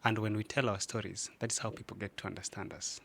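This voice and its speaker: A man hosting an interview, asking measured questions. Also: fairly low pitch, level tone, creaky, fairly bright tone, husky. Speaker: host.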